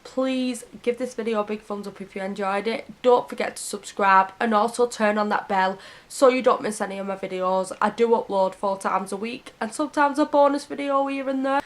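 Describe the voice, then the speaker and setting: A woman tells a true crime story to camera in an earnest, heartfelt way. Her voice is hoarse and sniffly from a cold and sits at a middle pitch.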